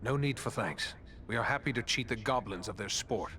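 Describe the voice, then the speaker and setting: A mid-pitched male actor's voice for a noble ranger in a fantasy game. He sounds earnest and formal, a courteous leader with firm resolve. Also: a little fast, pushed and bright, natural voice. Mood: courteous, inquiring. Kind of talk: fantasy video game cutscene acting.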